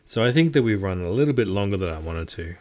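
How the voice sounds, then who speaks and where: Chatty Australian man, lowish voice. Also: animated, fast talker, a little mellow. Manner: chatty, self-deprecating, encouraging. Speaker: game master giving tips. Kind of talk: casual instructional talk.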